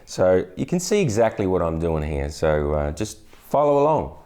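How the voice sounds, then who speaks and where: Deep-voiced man teaching guitar casually. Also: moderate pace. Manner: relaxed, chatty, many restarts and fillers. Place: indoor studio, brick wall backdrop. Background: acoustic guitar playing.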